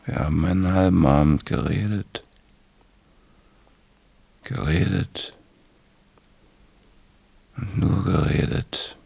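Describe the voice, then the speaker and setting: An actor playing a troubled, tipsy married teacher in a radio drama, speaking in a halting, confiding way. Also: male voice, steady tone, vocal fry, a little mellow, slightly rough, exaggerated voice. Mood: confiding, dazed. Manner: halting, low-key, confiding. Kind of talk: 1970s German radio play acting.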